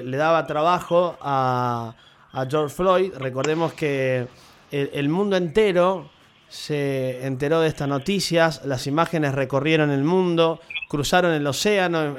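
A man asks his questions in a measured way. His voice sits fairly high, and a faint hiss runs underneath. He stretches out his hesitations between phrases. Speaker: interviewer, radio host. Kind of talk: radio interview.

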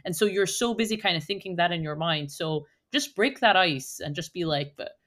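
A woman talking casually as a podcast guest, lively and chatty with plenty of fillers. Her voice sits somewhat low for a woman. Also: moderate pace. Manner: animated, chatty, many fillers like "like" and "you know".

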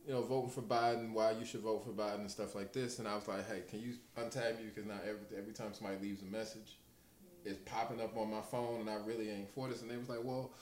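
A man's voice: lowish voice, little pitch movement, fast, a little mellow. A man in his late thirties in casual podcast chat, telling a story in a laid-back, profane way and quoting his own retorts. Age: 30s. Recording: echoey room with faint hiss.

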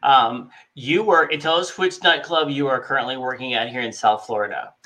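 A man hosting a podcast, asking slow, hesitant questions with fillers. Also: medium pitch, sharp tone. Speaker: podcast host. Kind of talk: interview host.

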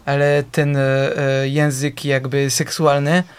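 Guest, male, Polish speaker, hesitant, fills pauses a lot, smooth clear voice, a little high. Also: flat delivery. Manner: hesitant, searching for Polish words, many fillers. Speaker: actor, guest. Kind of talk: casual interview chat.

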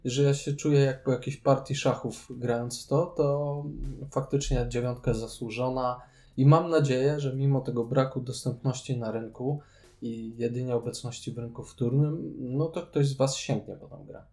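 A man talks casually as a podcast co-host. His voice is dark and mellow, sitting in a middle register. He gives reviews in a conversational way. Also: mid-range voice, fairly even intonation, normal speed. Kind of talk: casual chat, board game review.

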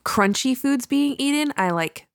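A woman's voice: high voice, expressive, unhurried, creaky, dry recording. A young woman co-hosting a podcast on a studio mic, talking animatedly and laughing often. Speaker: podcast co-host. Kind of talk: casual chat.

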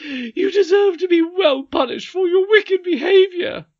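An indignant storybook nursemaid, acted out by a man, scolding in a high, shrill voice that pushes loud with outrage. The recording is dull and homemade. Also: expressive, measured pace, full character voice. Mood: furious. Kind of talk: storybook read-aloud character voice.

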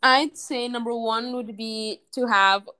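A woman's voice: a little high, fairly even intonation, slow, sharp tone, clean tone. A young woman co-hosting a podcast, chatting casually and hesitantly with many fillers. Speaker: podcast co-host. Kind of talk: casual chat.

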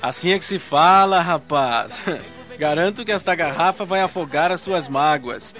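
Casting: brash, jovial tempter, played by a man, highish voice, hearty barroom chum, radio play. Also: a little slow, sharp tone, soft background music. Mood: jovial, coaxing. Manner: boisterous, hearty. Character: jovial bad-influence drinking buddy, brash tempter. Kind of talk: radio drama acting. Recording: dull lo-fi audio.

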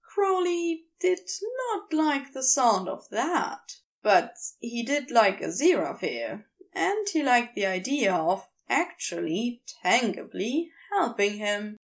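A woman narrates a piece of fiction in a fairly high, highly expressive voice. Her delivery is unhurried, with a clearly creaky edge as she reads. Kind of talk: scripted story narration.

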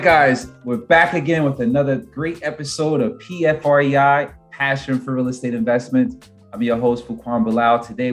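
A man speaks as the host of an interview podcast. His voice sits at a medium pitch and his delivery is upbeat and conversational. Music can be heard behind him.

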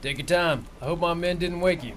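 An actor playing a brisk, businesslike male army major. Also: fairly high pitch, a bit bright, a little hiss or hum, close to an everyday voice. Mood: polite. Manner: curt, businesslike. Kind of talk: audio drama acting.

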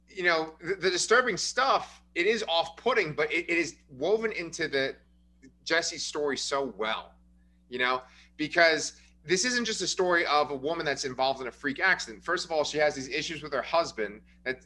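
A man on a movie podcast lays out the story in a measured, explanatory way and drops in plenty of agreeing "yeah"s. His voice is bright and forceful. A faint hum runs underneath. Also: medium pitch. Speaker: podcast co-host. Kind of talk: casual movie review chat.

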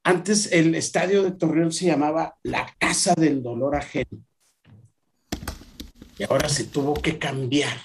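A man's voice: on the high side, a little slow, pauses often, slight background noise. A man, a professor chatting in an anecdotal way with frequent fillers. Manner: lively, anecdotal, many fillers. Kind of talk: conversational discussion.

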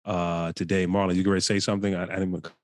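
A man with a low voice, recorded dry and close with little room sound. He hosts casually, speaking conversationally to a guest and to listeners. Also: flat delivery, very fast, dry recording. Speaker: podcast host.

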